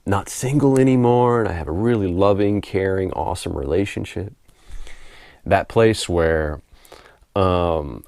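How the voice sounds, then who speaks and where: A middle-aged man with a hoarse voice from a head cold, talking to camera at home in a relaxed, rambling way, often repeating words as he gathers his thoughts and laughing softly now and then. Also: low-pitched, no echo, clean studio sound. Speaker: spiritual author and video host. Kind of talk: casual unscripted monologue to camera. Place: home room.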